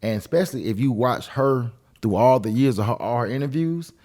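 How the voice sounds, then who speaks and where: A man's voice: mid-range voice, fairly quick, a little mellow. A man talking casually and with strong opinions on a podcast, emphatic and repeating phrases for effect. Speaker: podcast co-host.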